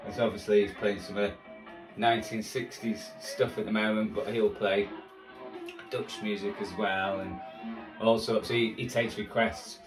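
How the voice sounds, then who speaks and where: A man's voice: on the low side, level tone, unhurried. A man chatting casually as he tunes a shortwave radio, with music playing from the set. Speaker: shortwave radio hobbyist presenter. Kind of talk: casual chat while tuning a radio. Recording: roomy, distant mic, music behind.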